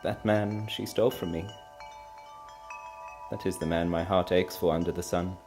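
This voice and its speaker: A man's voice: sits low, fairly even intonation, normal speed. A man voicing a proud, anguished, defiant wife in a storybook reading, impassioned and emphatic, trembling with feeling. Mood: bitter, yearning. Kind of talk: dramatic storybook character reading.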